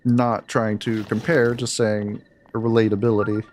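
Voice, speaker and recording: A man with a deep voice streaming a game, chatting candidly and easily with viewers while he plays, close to his mic. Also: level tone, moderate pace, slight background noise. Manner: candid, laid-back, talks to chat between game moments. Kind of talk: casual live-stream chat while playing. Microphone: close desk mic. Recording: game music audible under the voice. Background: game music.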